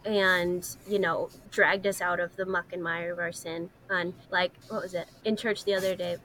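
A woman's voice: lowish voice, level tone, normal speed, fairly bright tone, a little hiss or hum, rough recording. A woman co-hosting a podcast, talking casually and earnestly about stories and faith. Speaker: podcast co-host.

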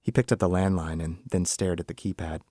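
Low-voiced man reading a short story. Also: steady tone, brisk, mellow, dry recording, clean studio sound. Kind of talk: literary story narration.

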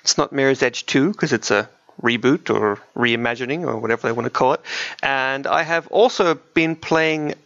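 A man's voice: mid-range voice, moderate pace, creaky, fairly bright tone. A man co-hosting a podcast, chatting casually about video games in a chatty, dryly joking way. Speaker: podcast co-host. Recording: muffled, roomy home recording.

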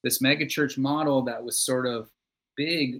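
A man's voice: mid-range voice, steady tone, moderate pace, a bit bright. A man being interviewed as a songwriter guest, talking in a reflective, conversational way. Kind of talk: casual conversation.

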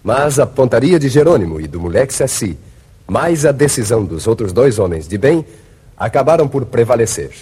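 Um homem brasileiro narrando com dramaticidade um seriado de rádio dos anos 1950. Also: male voice, medium pitch, big pitch swings, moderate pace, mellow, slightly rough. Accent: Brazilian. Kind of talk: 1950s radio serial narration. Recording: old radio serial with music behind.

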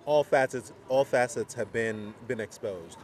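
A man's voice: medium pitch, a little slow. A man who is a native New Yorker, co-hosting a podcast and chatting in a reflective, enthusiastic way at an outdoor city restaurant. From New York. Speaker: podcast co-host. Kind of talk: casual chat. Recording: faint street traffic noise behind.

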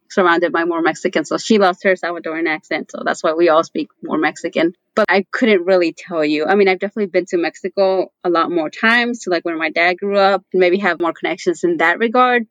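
A woman's voice: lowish voice, dry recording, lo-fi sound. A young woman in her twenties, a guest on a podcast, chatting in an easygoing way about her background. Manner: easygoing, talks in long runs with "like" and "you know". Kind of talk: casual conversation.

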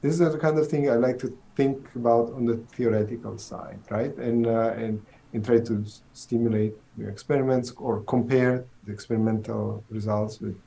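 A man's voice: mid-range voice, steady tone, normal speed, gravelly, hardly any pauses, dark tone, slight background noise, poor audio. A middle-aged man from southern Italy with an Italian accent, a theoretical physicist answering interview questions thoughtfully, with many restarts.

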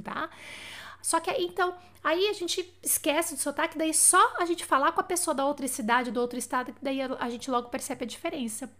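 A woman's voice: high-pitched, a bit bright. A woman from Brazil teaching French in a live-streamed lesson, chatty and checking in with viewers with frequent "tá?". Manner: chatty, asks for feedback with frequent "tá?". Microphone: live stream setup.

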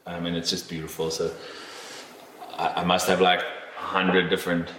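A man's voice: deep voice, monotone, normal speed, sharp tone, roomy sound, a little hiss or hum. A man telling a travel story in casual podcast chat, rambling with fillers and restarts and swearing casually.

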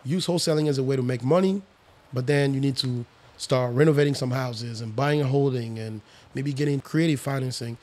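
Man, 30s, from the Congo, raised in France; relaxed, confident podcast guest. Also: medium pitch, a little fast. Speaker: guest, real estate investor. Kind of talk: casual podcast chat.

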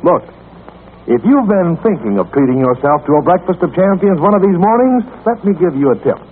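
American radio announcer, tinny vintage sound. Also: male voice, high voice, expressive, brisk. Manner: dramatic, emphatic, confiding salesmanship. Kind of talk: 1940s radio announcing and sponsor pitch. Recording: tinny, hissy 1940 radio recording.

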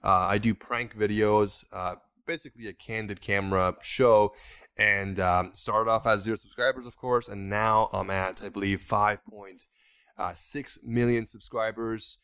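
A man's voice: on the low side, steady tone, a little slow, bright, forceful tone, clean tone. A man in his twenties from Iran, a podcast guest telling his story steadily with frequent ums. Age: 20s. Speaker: guest YouTuber. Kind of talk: interview answers.

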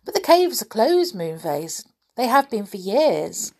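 Waffles, a squeaky shy rabbit, as a woman puts him on. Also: big pitch swings, a little slow, gravelly, a little mellow, full character voice. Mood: shy, eager. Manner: high squeaky pleading voice. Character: small shy excitable male rabbit.

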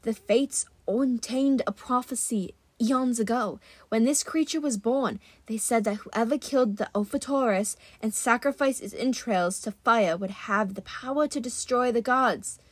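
Woman playing a grave, high-voiced ancient huntress. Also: a little slow, few pauses, breathy edge, no echo. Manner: formal, portentous phrasing. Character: solemn, ancient huntress girl, formal and grave.

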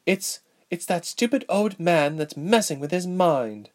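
Man voicing an exasperated young princess, high-pitched. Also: lively intonation, measured pace. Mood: frustrated, pleading. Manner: exasperated, emphatic. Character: young princess, petite, impatient and earnest.

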